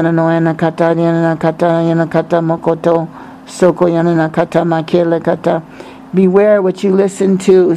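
Male preacher, slightly high pitch, flat intonation, incantatory repeated syllables, speaking in tongues, prophetic, faint noise behind. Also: gravelly, poor audio. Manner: repetitive, incantatory, breaking into chanted syllables between short phrases. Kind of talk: religious prophecy mixed with repetitive chanting in tongues.

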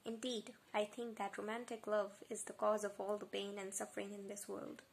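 A woman's voice: medium pitch, level tone, moderate pace, gravelly, a little hiss or hum, close to an everyday voice. Woman reading as a cold, cynical young woman. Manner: cold, quiet. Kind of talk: dramatic novel reading.

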